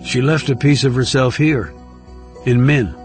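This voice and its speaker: A man voicing a reserved, low-key male government operative in an audiobook thriller. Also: a little slow, music in the background, lightly acted. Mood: subdued, reflective. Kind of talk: dramatic audiobook dialogue.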